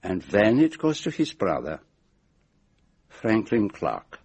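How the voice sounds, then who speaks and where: A shrewd, middle-aged Belgian detective in a radio drama. His French-speaking Belgian accent comes in a slightly husky, lively voice that rises and falls theatrically. He lays out each point precisely, with deliberate pauses. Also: fairly low pitch, full character voice. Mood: accusing, deliberate.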